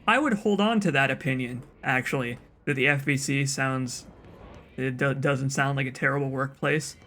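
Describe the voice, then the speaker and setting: A man's voice: mid-range voice, moderate pace, pushed and bright, slight background noise. A man with a soothing voice giving casual, rambling commentary as he plays a game, often restarting his sentences. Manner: rambling, chatty, frequent restarts and asides. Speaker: let's play streamer.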